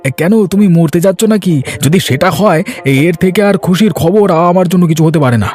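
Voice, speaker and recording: A man's voice: high voice, normal speed, a little mellow, natural voice. A man voicing a poor, henpecked village farmer in a cartoon, sarcastic and exasperated, with a whining, complaining manner. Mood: sarcastic, curious. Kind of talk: Bengali cartoon dubbing. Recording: music behind the voice.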